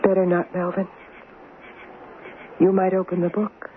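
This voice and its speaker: A woman's voice: fairly low pitch, completely flat delivery, measured pace, natural voice. Woman acting a cool former mistress, tinny radio. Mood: cool, teasing. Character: cool, teasing former mistress, quietly menacing. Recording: narrowband, noisy old radio recording.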